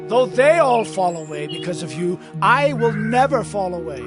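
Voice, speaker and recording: A man's voice: sits high, sing-song, moderate pace. An actor playing a fervent, stubbornly loyal male disciple in a scripture drama, speaking emphatically. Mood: fervent vow. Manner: emphatic, impassioned. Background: music.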